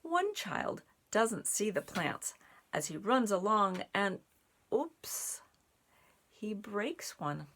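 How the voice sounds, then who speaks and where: A woman reading a children's picture book aloud in an expressive, deliberate way for young listeners, with little asides. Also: medium pitch. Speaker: storybook reader and sign language teacher.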